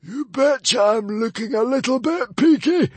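A man's voice: extremely high pitch, lively intonation, normal speed, slightly rough, no echo, voice pushed up, exaggerated voice. A man voicing a hissing, whiny male librarian villain in an audiobook reading. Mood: menacing, screeching.

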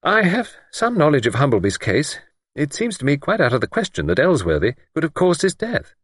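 A man's voice: a little high, wildly swooping pitch, a little fast, slightly rough, no room sound, voice pushed up, exaggerated voice. A man voicing a middle-aged country doctor who is dry, skeptical and condescending.

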